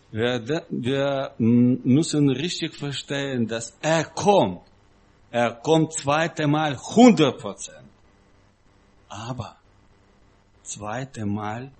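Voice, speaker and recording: A man preaching in a non-native, foreign-accented voice, halting and simply phrased, with emphatic repetition. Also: fairly high pitch. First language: not German. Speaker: guest preacher. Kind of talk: sermon-like teaching talk to a congregation.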